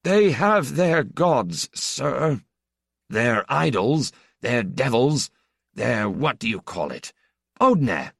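A man's voice: medium pitch, big pitch swings, extremely slow, creaky, fairly bright tone, no echo, studio quality, pitched above the performer's own voice, full character voice. A man doing a timid old village priest. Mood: stiff. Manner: hesitant, stammering.

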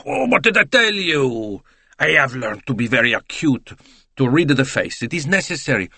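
A man's voice: medium pitch, big pitch swings, normal speed, vocal fry, sharp tone, breathy edge, no echo, pitched above the performer's own voice, heavily acted. A man voicing a voluble, boastful, beaming salesman in an audiobook. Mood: excited, proud. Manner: gushing, eager, philosophical.

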